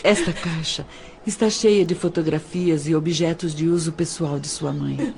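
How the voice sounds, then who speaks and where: A woman playing a practical middle-aged adoptive mother in an audio drama, explaining in measured phrases with emphatic pauses. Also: low-pitched, fairly even intonation, vocal fry, a little mellow. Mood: firm, consoling. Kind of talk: dramatized audio novel dialogue.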